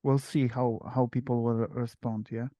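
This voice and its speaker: A man with a Polish accent hosting a relaxed group video call, talking haltingly with many fillers and restarts. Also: medium pitch, no room sound. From Poland. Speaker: meetup host. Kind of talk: casual online group chat.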